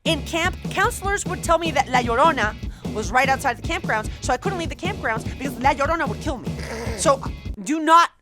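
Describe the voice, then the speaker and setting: A woman's voice: high-pitched, fairly quick, over music. A woman hosting, telling comedic stories in a casual, dramatic, jokey ranting style. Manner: animated, dramatic, jokey delivery. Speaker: host. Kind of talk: comedic storytelling, casual rant.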